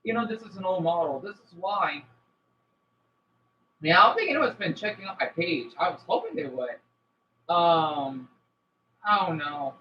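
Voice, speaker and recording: A man chatting casually to live viewers as a streamer, rambling and halting as he jumps between thoughts. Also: fairly high pitch, expressive, highs cut off, a little hiss or hum. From United States.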